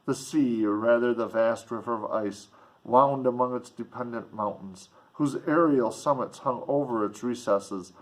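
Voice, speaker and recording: A man's voice: medium pitch, measured pace, poor audio. A man reading a classic novel aloud expressively as narrator and commentator. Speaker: reader and commentator. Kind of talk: expressive audiobook narration with casual asides.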